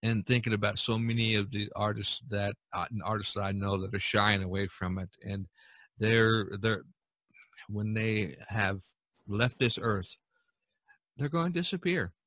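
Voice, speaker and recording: A man's voice: lowish voice, measured pace, fairly bright tone, no echo. A man hosting a casual podcast discussion, speaking emphatically and repeating phrases for stress. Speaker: podcast host.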